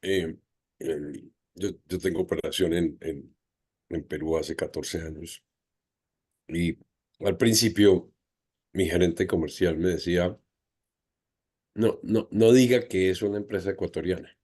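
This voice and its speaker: A man with a Colombian Spanish accent, a panelist and business owner, telling an anecdote in a halting way with many hesitations. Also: low voice, fairly even intonation, a little mellow, slightly rough.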